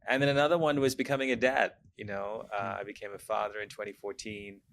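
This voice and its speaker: A man in his forties from Sri Lanka, a guest speaking from home in a measured way, often saying "you know". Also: fairly low pitch, little pitch movement, vocal fry, a bit bright, slight background noise. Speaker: guest, brand consultant. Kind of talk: casual interview chat.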